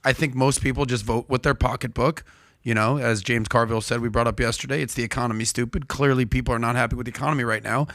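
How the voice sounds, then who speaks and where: Fast-talking bright-voiced man co-hosting. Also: mid-range voice, fairly even intonation, no room sound, clean studio sound. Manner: quick, casual back-and-forth. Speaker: podcast co-host. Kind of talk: podcast discussion.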